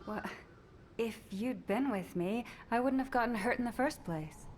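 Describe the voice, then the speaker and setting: A woman playing an earnest, proud female soldier in a scripted video game scene, speaking in a measured way. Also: a little high, a little mellow, a little hiss or hum, close to an everyday voice. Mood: proud, indignant. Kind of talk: scripted game cutscene acting.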